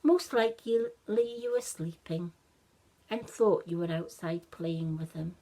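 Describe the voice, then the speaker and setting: Lady reading as a storybook mum, slow n gentle, reassuring. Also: fairly low pitch, low-quality recording. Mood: soothing, doubting. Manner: tender, reassuring. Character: gentle, soothing mother of young children.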